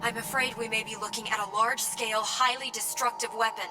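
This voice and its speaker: A woman's voice: fairly high pitch, steady tone, fast talker, lightly acted, low-quality recording. A woman playing an earnest, composed young military mission operator in a video game, speaking precisely. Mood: urgent, pleading. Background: loud game music and vehicle sounds.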